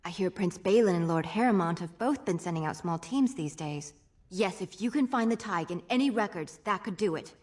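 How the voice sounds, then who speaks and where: A woman's voice: lowish voice, expressive, fairly quick, darkish tone, close to an everyday voice. Actress as a hesitant, apologetic young dwarf woman. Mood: hopeful. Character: shy, hopeful young dwarf woman scholar seeking noble lineage. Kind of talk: fantasy video game dialogue.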